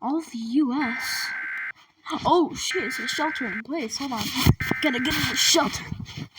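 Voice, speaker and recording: A woman with a fairly high voice reacts casually, in informal muttered asides. She sounds away from the microphone, with clear background noise around her. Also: normal speed. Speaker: video host reacting to a broadcast. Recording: distant mic with noisy background.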